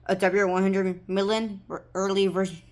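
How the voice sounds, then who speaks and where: A woman giving a casual, rambling, halting show-and-tell of gear as a hobbyist presenter. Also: medium pitch, little pitch movement. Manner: halting, rambling, improvised delivery. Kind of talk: casual product showcase. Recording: noisy room with clicking handling sounds. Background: noise, clicks, radio broadcasts.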